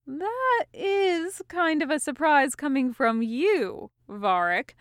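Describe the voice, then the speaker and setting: Fantasy audiobook hero, male, female reader, swaggering and whiny, slow, emphatic declarations. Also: high-pitched, animated, dry recording, studio quality, pitched above the performer's own voice. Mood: puzzled. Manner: passionate, self-important, whining.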